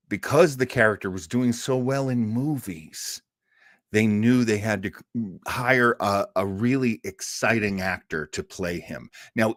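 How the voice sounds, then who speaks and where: Expressive man hosting, dry close sound. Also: fairly low pitch, no room sound. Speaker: host of a radio listening party. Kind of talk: casual live introduction to an old radio show.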